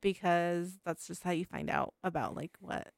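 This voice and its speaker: Woman co-host, casual podcast banter, slight fry in the voice, dry room. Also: lowish voice, moderate pace, no echo. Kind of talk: casual chat.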